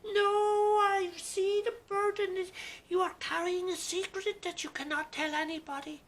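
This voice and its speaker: A man voicing an old wise woman healer who lives in the woods, speaking slowly in a high, quavering voice. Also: little pitch movement, a bit bright, slight background noise. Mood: knowing.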